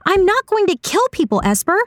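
Woman acting Saga, an indignant woman, pitched up. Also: very animated, a little slow, no echo, clean studio sound. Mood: angry. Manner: incredulous, emphatic, challenging. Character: Saga, indignant hard-drinking woman, uneasy and accusing. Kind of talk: audiobook character dialogue.

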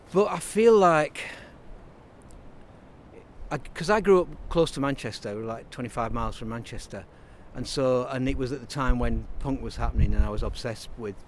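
A man's voice: mid-range voice, a little hiss or hum. A man, talking as an interview guest in a rambling, reflective way with many restarts. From Burnley, Lancashire, England. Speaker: interview guest, writer and songwriter. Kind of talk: casual interview conversation.

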